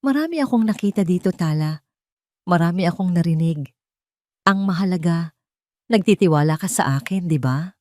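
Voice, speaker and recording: A woman's voice: fairly low pitch, animated, moderate pace, pauses often, dark tone, no room sound, clean studio sound, lightly acted. Woman voicing a secretive, knowing female patient. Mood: coaxing, guarded.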